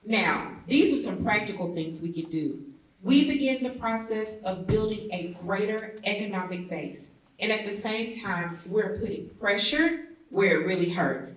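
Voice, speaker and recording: A woman's voice: medium pitch, unhurried, a little hiss or hum. A woman reading a historic speech aloud at a public event in an oratorical, declarative manner. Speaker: reader at a commemorative event. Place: indoor theatre event. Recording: echoey room, distant mic.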